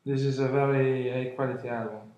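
A man in his twenties from Italy, speaking English with an Italian accent, unboxing an album at home, halting with many fillers and apologies. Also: mid-range voice, steady tone, warm tone, roomy sound, dull audio. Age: 20s. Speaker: vlogger. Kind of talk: casual unboxing vlog. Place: room at home, indoors. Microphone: webcam at arm's length.

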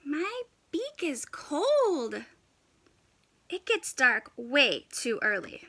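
A woman's voice: high voice, sing-song, very slow, sharp tone, heavily acted. A woman voicing a grumpy young male penguin in a children's storybook, complaining in an exasperated, melodramatic way. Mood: resigned.

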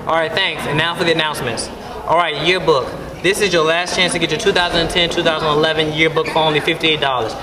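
A man's voice: a little high, steady tone, fast talker, reverberant, music in the background, rough recording. A teenage boy reading school announcements as student news anchor. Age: teens. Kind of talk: school announcements read aloud. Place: school gym, indoors.

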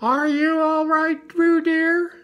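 An anxious, fussing mother kangaroo from a storybook, put on by a narrator. Her voice is pitched far up and full of motherly worry. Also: flat delivery, takes it slowly, dull audio.

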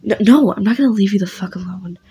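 A man's voice: sits high, fast, warm tone, breathy edge, heavily acted. A woman voicing an angry, pushy young male best friend who swears and confronts. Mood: insistent.

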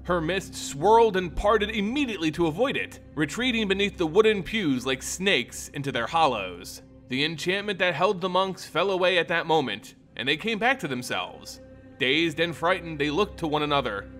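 Theatrical male storyteller, lively pitch. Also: normal speed, faint music behind. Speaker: storyteller, narrator. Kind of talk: dramatic scripted fantasy narration.

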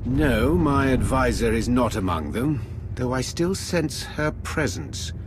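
A man's voice: mid-range voice, lively intonation, slow, background noise. An actor playing a cool, mocking male Sith lord in a video game cutscene. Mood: smug.

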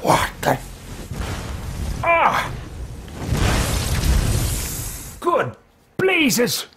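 A man's voice: very high voice, huge pitch swings, very slow, background noise, exaggerated voice. A man roleplaying an elderly, earnest wizard in a video game, talking to himself in formal, old-fashioned, theatrical language. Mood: exclaiming, alarmed. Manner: formal, archaic, theatrical self-talk.

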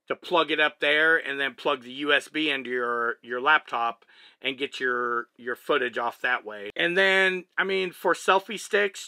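A man in his thirties with a Texan accent explains his camera rig step by step, relaxed and chatty. His voice is bright and sharp. It is recorded dry and close inside a small truck cab. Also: a little high, no echo. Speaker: vlogger. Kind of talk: casual gear demonstration. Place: small living cabin of a truck, indoors.

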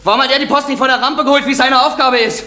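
A man's voice: very high-pitched, fairly even intonation, very fast, bright, forceful tone, echoey room, full character voice. An actor playing a male postal driver who talks in a blunt, colloquial, defensive way.

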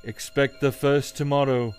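A man's voice: mid-range voice, flat delivery, unhurried, darkish tone, faint music behind, close to an everyday voice. A man playing a grave, ominous ghost giving warnings in a radio play. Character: solemn ghost of a dead business partner, warning. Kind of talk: old-time radio play acting.

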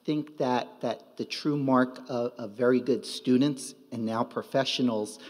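Halting male teacher in a reverberant hall. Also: mid-range voice, steady tone, darkish tone. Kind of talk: informal ceremony speech. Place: large indoor auditorium.

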